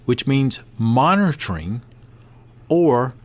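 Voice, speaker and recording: A man hosting a podcast, reading a passage aloud and adding his own commentary in a measured way. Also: mid-range voice, very animated. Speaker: podcast host.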